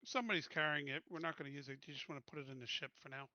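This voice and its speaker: A man's voice: on the high side, very fast, bright, forceful tone, no room sound, dull audio. A man playing a tabletop role-playing game, talking casually through his character's moves.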